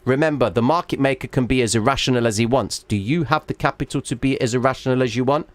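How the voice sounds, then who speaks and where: A man hosts a live trading stream, talking viewers through a chart and stopping often to ask "all right?" His voice is starting to go, and he sits at an average pitch for a man. The sound is dry and close, with no room echo. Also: fast. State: losing his voice. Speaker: trading livestream host.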